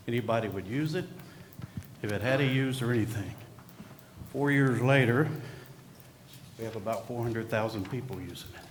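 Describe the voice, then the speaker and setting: A man presenting at a conference podium, relaxed and folksy. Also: lowish voice, slight background noise. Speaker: company executive presenting at a conference. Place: conference hall. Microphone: podium microphone. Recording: echoey conference hall.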